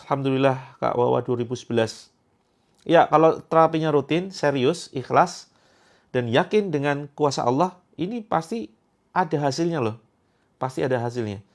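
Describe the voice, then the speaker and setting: Joking man hosting a live chat. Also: fairly high pitch. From Indonesia. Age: 30s. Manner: chatty, joking, repeats points for emphasis. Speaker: Quran therapy host. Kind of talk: livestream chat answering viewer comments.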